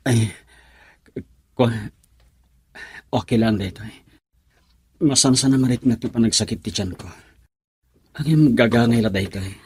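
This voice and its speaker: A lovelorn suitor, earnest and plaintive. The voice is heavily breathy and rough, in a dry, close recording, with the emotional, self-pitying delivery of a romantic radio drama. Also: male voice, medium pitch, measured pace, pauses often, darkish tone, no echo. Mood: pained, rueful. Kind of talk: Ilocano radio love drama acting.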